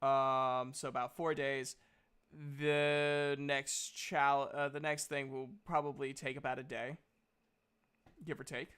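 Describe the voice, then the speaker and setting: A man's voice: medium pitch, level tone, slow pace, fairly bright tone, clean tone. A man narrating a tabletop roleplaying game as game master, explaining things in a hesitant, improvised way.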